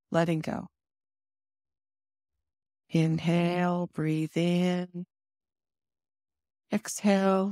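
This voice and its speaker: A woman leading a guided meditation in a soothing, instructive manner with deliberate pauses. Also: on the low side, darkish tone, no room sound, studio quality.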